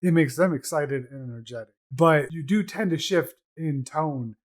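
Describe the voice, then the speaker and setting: Male co-host, slightly high voice, casual explainer who talks things through. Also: normal speed. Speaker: podcast co-host. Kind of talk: casual chat.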